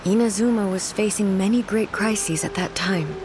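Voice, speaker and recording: A woman playing a regal, immortal shogun in a video game, formal and measured, grieving yet composed. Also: mid-range voice, darkish tone, slight background noise, natural voice. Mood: grave.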